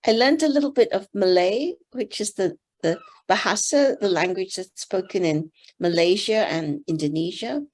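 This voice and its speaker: An older woman from Singapore whose first language is Teochew, giving gentle, thoughtful interview answers with soft pauses. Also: medium pitch. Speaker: interview guest, board member.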